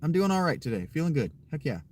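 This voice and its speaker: A man's voice: fairly high pitch, sing-song, fast. Joking, rambling male streamer. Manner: joking, rambling, swears freely. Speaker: streamer chatting with viewers. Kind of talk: casual chat.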